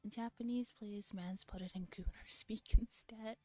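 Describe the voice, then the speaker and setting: A woman streamer reading a visual novel aloud in a soft, relaxed ASMR style, sometimes slipping into a whisper, with casual chat. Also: mid-range voice, moderate pace. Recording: music playing behind the voice. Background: music.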